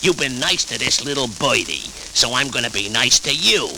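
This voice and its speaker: A man playing a big, gruff but kindly bulldog with folksy slang on a vintage children's cartoon record. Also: medium pitch, animated, normal speed. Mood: reassuring. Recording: noisy vintage 78rpm record.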